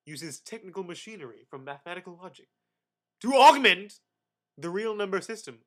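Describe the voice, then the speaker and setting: Higher-voiced man reading with grandiose drama. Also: fairly bright tone. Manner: mock-grandiose, with sudden emphatic swells and dramatic pauses. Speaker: amateur reader. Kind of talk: theatrical dramatic reading of encyclopedic text.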